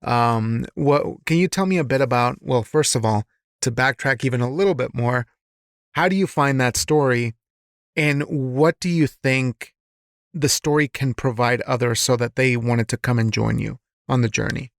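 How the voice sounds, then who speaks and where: A man with a medium-pitched voice interviews a guest as podcast host. He phrases things reflectively, searching for his wording, in a casual style. The sound is dry, with no room echo. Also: normal speed, vocal fry. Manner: reflective, searching phrasing, frequent short affirmations.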